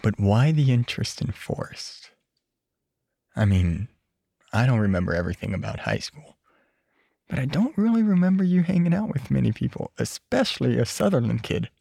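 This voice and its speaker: A laid-back, friendly American bro in an audio drama. His pitch swings freely, with a creaky edge, and the recording is close and dry. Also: sing-song, normal speed, warm tone, no echo, heavily acted. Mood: curious. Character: easygoing, eager, friendly male former high school classmate. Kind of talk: casual, naturalistic audio drama acting.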